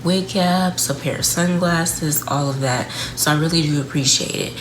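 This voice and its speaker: A woman's voice: deep voice, moderate pace. A young woman reviewing a wig for her channel, a little congested from a cold, chatty and talking straight to her viewers. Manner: chatty, addresses viewers directly. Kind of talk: casual beauty review vlog. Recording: noisy home recording.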